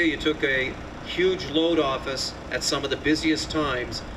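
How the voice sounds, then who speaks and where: A man speaking as an emergency management official, giving an earnest, formal thank-you speech. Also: mid-range voice. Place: outdoors before troops. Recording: distant mic, outdoor noise.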